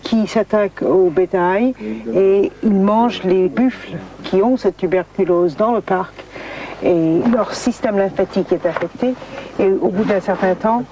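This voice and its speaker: Woman wildlife expert, halting and explanatory. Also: mid-range voice, dull audio, crowd noise. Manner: explanatory, halting, searching for words. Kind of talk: spontaneous expert interview. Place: outdoors in a national park.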